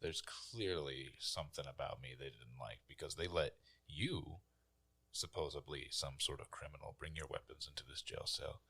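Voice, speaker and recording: A man playing a gruff, indignant, sarcastic hulking prisoner in a tabletop fantasy game, with a gravelly voice. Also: very deep voice, moderate pace, pushed and bright, exaggerated voice. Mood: sullen, sarcastic. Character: disgruntled hulking prisoner waking bound in a cell.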